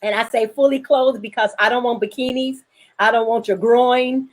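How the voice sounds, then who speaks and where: A woman's voice: on the high side, steady tone, normal speed. A woman hosting a casual online panel chat, speaking frankly and jokingly as a filmmaker. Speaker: host, filmmaker.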